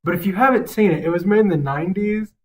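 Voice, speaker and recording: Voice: mid-range voice, quick pace. A young adult podcast co-host giving opinions in casual banter, swearing freely and rambling emphatically.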